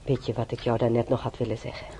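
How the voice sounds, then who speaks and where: Diepe, krakende stem van oudere patiënte. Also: female voice, very deep voice, level tone, mellow, a little hiss or hum. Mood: teasing. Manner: halting, sighing, full of ohs. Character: older bedridden female patient, flustered, teasing, motherly. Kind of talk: radio drama acting.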